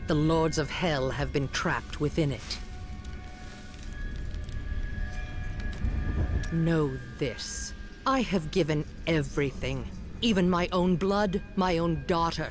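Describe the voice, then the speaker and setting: A man performs a grave, zealous demon hunter for a fantasy game. The voice sits fairly high and is emphatic and unyielding. Soft music runs beneath it. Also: unhurried, natural voice. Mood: fierce, defiant. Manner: solemn, emphatic. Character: grave, zealous parent hunting demons, fiercely dedicated. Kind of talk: video game acting.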